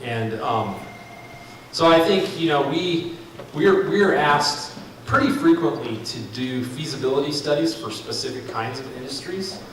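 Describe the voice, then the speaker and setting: A man's voice: a little high, lively intonation, measured pace, a bit bright. A man presenting a market analysis at a public forum, speaking off the cuff with many ums and restarts. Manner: thinking aloud, frequent ums and restarts. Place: large room at a public forum. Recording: echoey hall, far from mic, noisy.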